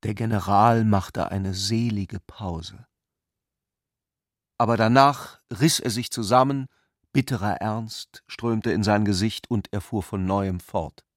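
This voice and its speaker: A man's voice: fairly low pitch, takes it slowly, no room sound, studio quality. A man narrating a literary radio play as a storyteller between the dialogue.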